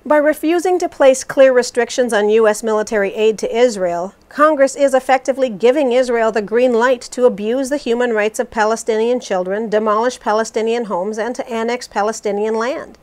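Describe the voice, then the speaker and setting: A woman voicing a resolute, critical politician in a scripted interview re-enactment, formal and measured. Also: a little high, darkish tone, close to an everyday voice.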